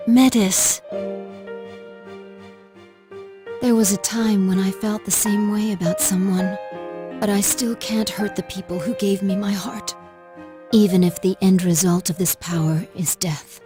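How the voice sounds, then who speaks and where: An actress playing a gentle but resolute older sister in an English anime-style video game, speaking in a measured, earnest, formal way. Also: female voice, mid-range voice, steady tone, music in the background, close to an everyday voice. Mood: somber.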